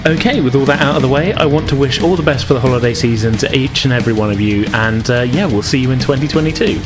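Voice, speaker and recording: A man's voice: medium pitch, fast, over music. A man hosting and narrating an improvised tabletop role-playing game as game master. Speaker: game master and podcast host.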